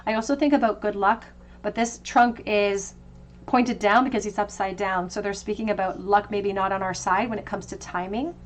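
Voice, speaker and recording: Female tarot reader, mid-pitched, conversational pick-a-card delivery, gently reassuring. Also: fairly even intonation, normal speed, a little hiss or hum. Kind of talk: tarot card reading to camera.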